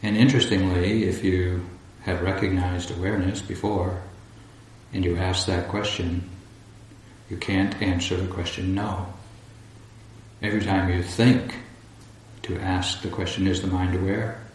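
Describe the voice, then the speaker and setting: A man guiding a meditation as a teacher, speaking calmly and deliberately in short phrases with long pauses. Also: deep voice. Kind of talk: guided meditation instruction. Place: large echoey indoor room at a retreat. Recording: roomy echo, faint background noise.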